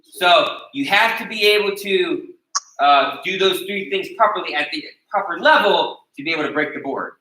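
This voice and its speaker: Bright-voiced American man teaching martial arts. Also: high-pitched, expressive, normal speed. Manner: instructive, checks in with "okay?" after each point. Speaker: taekwondo instructor. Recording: echoey room recorded from a distance.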